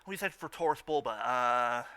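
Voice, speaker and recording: A man's voice: mid-range voice, animated, normal speed, pushed and bright, clear, resonant tone. A man in a casual fan discussion as a podcast co-host, often stammering and repeating words and phrases as he goes. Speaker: podcast co-host reviewing a cartoon.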